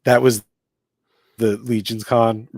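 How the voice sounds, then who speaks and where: A man's voice: mid-range voice, flat delivery, slow, a little mellow, dry recording. A man hosting a casual podcast chat from New Jersey, joking and rambling with lots of fillers. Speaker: host.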